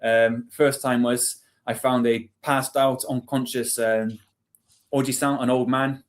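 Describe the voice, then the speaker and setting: A man's voice: mid-range voice, flat delivery, measured pace. A man in his forties with a British accent, telling stories casually and chattily as an interview guest, with some self-deprecation. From England. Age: 40s. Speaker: interview guest, army veteran. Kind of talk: casual storytelling.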